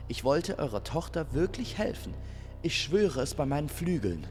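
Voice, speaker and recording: Honourable young angel suitor, acted by a male narrator, earnest and apologetic, lively pitch, soft music bed. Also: moderate pace, big-room sound. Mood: apologetic, urgent.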